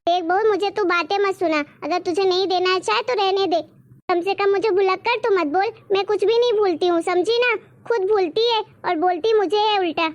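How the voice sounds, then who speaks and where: Woman doing a shrill, grumbling old granny. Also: a little fast, dry recording, muffled recording. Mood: complaining. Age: over 60. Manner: shrill, accusing, whiny demands. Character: forgetful, stubborn old grandmother, complaining.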